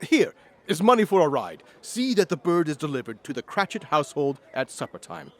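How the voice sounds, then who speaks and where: An old miser turned generous, in an audio drama. His voice sits fairly high and swings widely in pitch. He speaks with courtly, old-fashioned effusiveness and humility. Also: sing-song, fairly quick. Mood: giddy delight. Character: reformed old miser, giddy, generous and humble.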